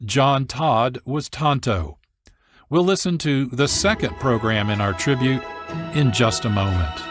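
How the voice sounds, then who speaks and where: A man hosting a radio program, reading scripted narration in a measured announcer's delivery. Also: medium pitch, expressive. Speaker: radio host and producer. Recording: dry studio, music behind.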